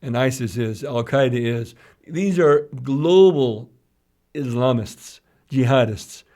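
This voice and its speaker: Guy cohosting a podcast, normal pitch, explains current events and repeats bits for emphasis. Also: takes it slowly, darkish tone. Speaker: podcast co-host. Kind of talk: conversational current-affairs commentary.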